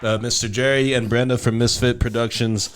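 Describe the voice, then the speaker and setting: A man's voice: medium pitch, level tone, fairly quick, slight background noise. Chatty young male podcast host. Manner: chatty, swears casually, laughs often. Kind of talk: casual podcast interview. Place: podcast studio.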